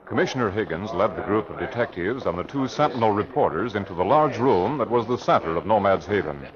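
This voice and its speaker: A man with a very deep voice narrates an old-time radio adventure, measured and dramatic. The sound is dull and lo-fi, like a vintage broadcast. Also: lively intonation, crowd noise.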